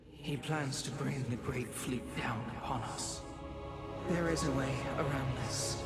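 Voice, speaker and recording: Slow, solemn alien seeress. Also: female voice, sits low. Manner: formal, portentous, deliberate. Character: solemn female alien seer, grave and haunted. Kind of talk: cinematic video game acting. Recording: loud music behind.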